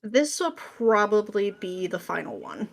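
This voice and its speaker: A woman with a medium-pitched voice answers questions as an interview guest. Her talk is casual and informal, with a self-deprecating streak. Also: sing-song, a little slow, creaky. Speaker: interview guest, script writer.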